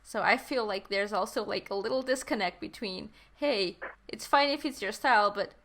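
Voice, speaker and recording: A woman's voice: medium pitch, moderate pace, a bit bright. A woman whose first language is Portuguese, hosting a casual podcast chat and eagerly agreeing with her guest. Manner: enthusiastic and agreeing, often affirms the guest. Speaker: podcast host. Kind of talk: casual conversation.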